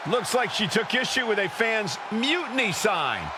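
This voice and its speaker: A man doing excited play-by-play wrestling commentary in a video game. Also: high-pitched, moderate pace, a crowd in the background, background noise. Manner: excited, emphatic.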